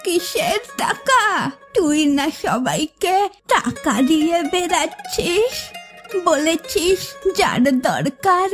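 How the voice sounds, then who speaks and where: A woman's voice: very high-pitched, very animated, takes it slowly, faint music behind, exaggerated voice. A woman playing a wheedling, nagging elderly aunt in a cartoon fairy tale, plaintive and pushy. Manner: pleading, then threatening, plaintive.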